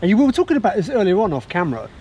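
A man's voice: sits high, very animated, rapid-fire, mellow, highs cut off. A man holding the camera and asking chatty questions in a woodland. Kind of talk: casual outdoor chat. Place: woodland outdoors. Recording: distant outdoor camera audio, clear noise.

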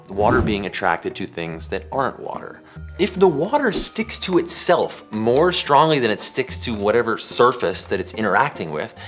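A man's voice: on the low side, a bit bright. An American man in his forties hosting a science explainer, talking to camera in a casual, emphatic, conversational way. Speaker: science show host. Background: background music.

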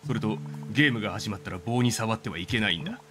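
A man voicing a smug, boastful young male storybook creature in a video game, with a self-important, measured delivery. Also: fairly low pitch, voice pushed down, close to an everyday voice.

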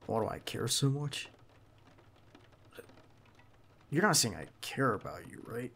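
A man playing a protective, earnest bodyguard in an ASMR roleplay, speaking haltingly and insistently straight to the listener. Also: mid-range voice, expressive, a bit bright, full character voice. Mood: flustered. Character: protective, earnest young male bodyguard to a crime boss. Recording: clear background noise under the voice.

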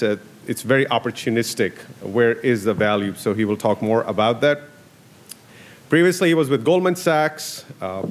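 A middle-aged man at a podium microphone in a large conference hall, introducing a panel in a structured, announcing way. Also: mid-range voice. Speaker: panel moderator. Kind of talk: conference moderation.

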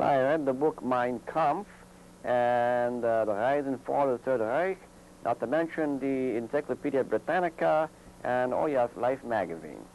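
A scheming impostor author in a homemade comedy film, played by a man. His voice is gravelly and he bluffs through his answers without hurry. A faint hiss sits under the recording. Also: mid-range voice, a little mellow, lightly acted, rough recording. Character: evasive Hitler posing as male Argentine author. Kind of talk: amateur comedy film acting.

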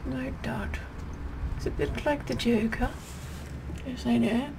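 Woman playing slow, whispery haughty queen. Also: a little high, steady tone, noisy background. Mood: smug, instructive. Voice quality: breathy, half-whispered. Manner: pompous, deliberate, repeats words softly. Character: haughty, sassy, bossy English queen, vain and regal. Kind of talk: ASMR roleplay makeup tutorial, whispered and soft-spoken.

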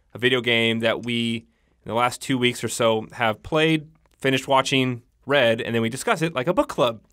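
A man's voice: mid-range voice, a bit bright, no echo, studio quality. A man in his twenties co-hosting a casual podcast, sounding tired and teasing his partner. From California. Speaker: podcast co-host.

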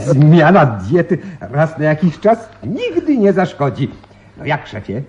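Jovial teasing policeman in a radio play, bouncy highish voice. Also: sing-song, slow, darkish tone, lo-fi sound, slight background noise. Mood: amused. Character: gleeful prankster policeman teasing his boss. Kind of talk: Polish radio play acting.

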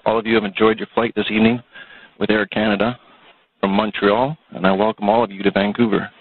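A man working as an air traffic controller, speaking sincerely over aviation radio with a husky voice. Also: on the low side, no room sound. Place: airport control tower. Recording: tinny, dry radio link.

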